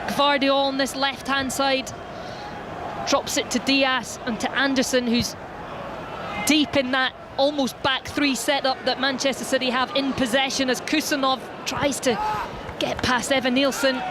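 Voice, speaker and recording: A woman giving brisk live play-by-play commentary on a football match from a stadium. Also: high voice, level tone. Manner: brisk, descriptive play-by-play calling. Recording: stadium crowd and loud background noise.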